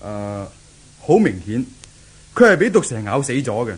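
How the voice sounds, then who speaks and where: A man's voice: mid-range voice, sing-song, moderate pace, breathy edge. An actor playing a matter-of-fact male police doctor with a Hong Kong Cantonese accent in a 1970s radio drama.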